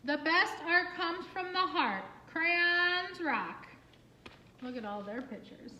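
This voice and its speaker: A woman reading a picture book aloud for children in an expressive, singsong way, with asides and questions to young listeners. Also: very high voice, slow, slight background noise. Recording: roomy, echoing room sound.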